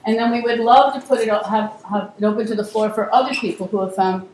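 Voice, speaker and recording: A woman's voice: on the high side, steady tone, fast talker, a little mellow. A middle-aged woman directing a university program, opening a workshop with a casual talk, her voice weak and strained, full of ums and restarts. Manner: conversational, many ums and restarts. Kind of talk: welcoming talk to a live audience. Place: university seminar room. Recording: echoey room, voice from far off, clear noise.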